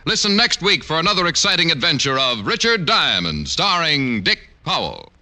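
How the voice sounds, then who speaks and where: A man's voice: a little high, big pitch swings, normal speed, music in the background. A man with an American accent reading scripted announcements and ads in 1950s radio style, on a vintage broadcast.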